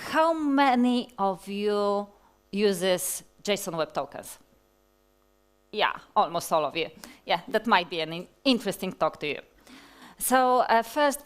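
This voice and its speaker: A woman in her forties with a Polish accent, giving a technical conference talk from a large hall stage, speaking slowly and deliberately with many fillers and restarts. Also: medium pitch, clean tone.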